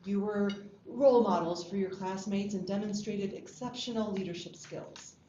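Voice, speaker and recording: A woman playing a formal, encouraging school staff member in a drama table read, measured in delivery. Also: mid-range voice, mellow, natural voice. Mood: formal approval. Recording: dull, echoey room with background noise.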